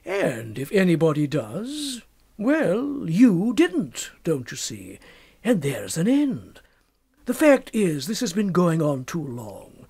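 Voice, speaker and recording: A man's voice: fairly high pitch, huge pitch swings, slow pace, creaky, a little mellow, breathy edge, exaggerated voice. A man voicing an unscrupulous, self-assured young doctor in a storybook, offhand and worldly as he persuades. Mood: coolly persuasive.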